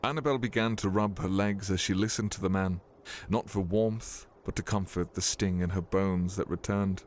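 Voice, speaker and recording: A man's voice: low-pitched, steady tone, normal speed, slight background noise. A man reading scripted narration for a fantasy audio drama as its storyteller.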